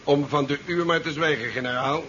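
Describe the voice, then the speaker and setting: An actor playing a curt, sardonic ship commander in a 1970s science fiction radio play. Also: male voice, on the high side, fairly quick, bright, forceful tone. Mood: tense, bitter. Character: curt, sardonic male ship commander worried about career. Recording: muffled 1970s radio drama recording, faint noise.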